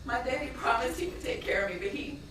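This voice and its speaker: A woman's voice: high-pitched, animated, fairly bright tone. A woman acting a grieving, despairing young woman who pleads emotively for her lost mother in a stage play. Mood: anguished, pleading. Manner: emotive, pleading, drawn-out delivery. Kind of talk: stage play monologue breaking into gospel-blues song. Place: stage in a theatre. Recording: echoey stage, distant mic, faint noise.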